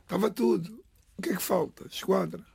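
Middle-aged lisbon guy, cape verdean creole first language, gravelly husky voice, slow halting testimony. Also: sits high, mellow, dry recording. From Portugal, Lisbon area. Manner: halting, restarts phrases, pauses between words. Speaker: interviewee. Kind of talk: reflective personal testimony.

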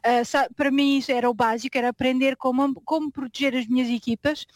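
A woman with a European Portuguese accent answers interview questions in a high voice. She speaks earnestly and often breaks off to correct a word. The sound is close and dry. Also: steady tone, fast talker, dry recording. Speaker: interview guest. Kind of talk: conversational interview.

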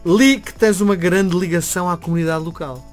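Higher-voiced male interviewer. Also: fairly even intonation, brisk. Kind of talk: casual interview. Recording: faint music behind.

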